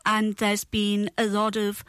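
A woman's voice: medium pitch, completely flat delivery, slow, a bit bright, smooth, dry recording. A woman in a radio studio speaking matter-of-factly about her years of work. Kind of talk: telling her own work experience on air.

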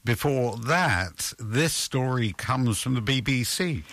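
A man's voice: medium pitch, lively intonation, slow, a bit bright, no room sound. An older man hosting a community radio show, chatty and jokey. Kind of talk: casual radio presenting. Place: radio studio.

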